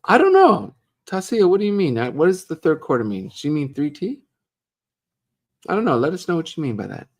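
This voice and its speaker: A middle-aged man co-hosting a casual live Q&A, chatting easily and reading viewer comments aloud with a joking, relaxed manner. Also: a little high, a little fast. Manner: chatty, jokey, reads viewer questions aloud.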